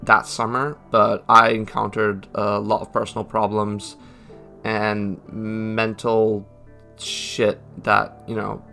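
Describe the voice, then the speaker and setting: A man's voice: lowish voice, completely flat delivery, slow pace, vocal fry, pushed and bright, faint music behind. A man giving a casual, joking voice-over update in a sweary, self-mocking and informal manner. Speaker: channel creator and host.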